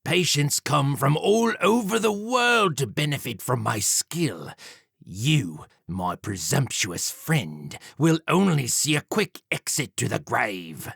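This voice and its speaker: A man voicing an arrogant, sneering gentleman surgeon who is a murderer, in an audiobook story, with a haughty, drawn-out delivery. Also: medium pitch, sing-song, vocal fry, husky, dry recording, clean studio sound, pitched above the performer's own voice, heavily acted. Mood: boastful, mocking.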